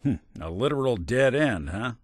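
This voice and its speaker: An actor playing a world-weary, wry but loyal male synth private detective in a video game, with a gruff, hard-boiled noir delivery. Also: medium pitch, expressive, moderate pace, no echo, clean studio sound, close to an everyday voice. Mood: sardonic.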